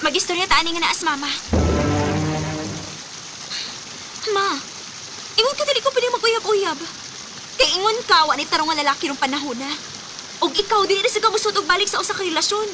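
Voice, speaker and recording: A woman's voice: very high-pitched, animated, fast talker, heavy background noise. A woman acting a blunt, headstrong young woman in a radio drama. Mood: accusing.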